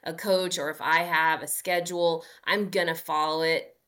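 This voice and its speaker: This is a woman in her forties, a guest on a podcast, who talks about her running in an earnest, upbeat way. Her voice sits a little low but sounds bright and forward. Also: fairly even intonation, moderate pace. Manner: earnest and upbeat, with frequent fillers. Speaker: guest, amateur marathon runner. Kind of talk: casual podcast interview.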